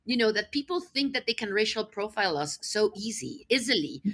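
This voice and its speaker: A woman from Mexico City whose first language is Spanish talks as an interview guest. Her voice is bright and forceful at a medium pitch, and her delivery is conversational, emphatic and enthusiastic. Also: sing-song, a little fast. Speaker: podcast guest, nonprofit executive.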